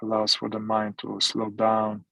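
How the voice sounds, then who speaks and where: A man leading a guided meditation as a teacher, speaking gently in short, sparse phrases with long pauses. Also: lowish voice, completely flat delivery, gravelly, no room sound, muffled recording. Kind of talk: guided meditation, mindfulness instruction.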